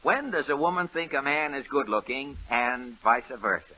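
A man announcing a segment of a 1930s radio show in a brisk, presentational manner. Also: mid-range voice, lively intonation, pushed and bright, husky. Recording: hissy old radio recording.

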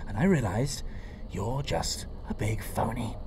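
A man playing a quick-witted, eccentric time traveller in an audio drama, wry and explanatory. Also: on the low side, expressive, darkish tone, a little hiss or hum. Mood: cheeky, challenging.

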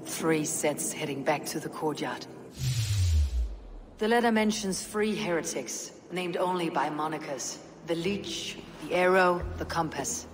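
A woman playing a stern, resolute Viking warrior in a game cutscene, speaking in a measured, grim, matter-of-fact way. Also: deep voice, background noise. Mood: grim, observant. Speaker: video game lead character. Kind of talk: dramatic game cutscene acting. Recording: reverberant stone hall, music underneath.

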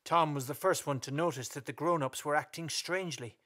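A man's voice: on the high side, fairly quick, fairly bright tone. A man narrating a story in a scripted radio play.

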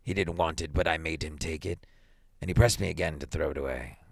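A man voicing a mild, curious old father in a story, speaking in a slow, musing way. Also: a bass voice, vocal fry, no echo, studio quality, pitched below the performer's own voice, heavily acted. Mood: hesitant, musing.

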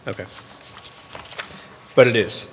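A man on a board making motions and asking questions in a hesitant way, restarting his sentences. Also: slow pace. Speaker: board member. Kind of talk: meeting discussion. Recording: echoey chamber with audible noise.

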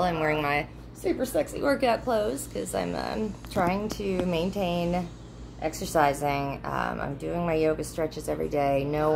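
A woman in her thirties talks casually into a phone held at arm's length, her lowish voice full of gravelly fry. She rambles with plenty of ums and restarts. Faint noise hums under the motel room. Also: fairly bright tone. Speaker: vlogger. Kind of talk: casual chat to followers.

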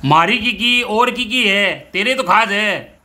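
A man's voice: high voice, slow, sharp tone. A man with a Rajasthani accent in a heated, taunting argument over a recorded phone call. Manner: confrontational, taunting. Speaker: village council head on a phone call. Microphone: telephone.

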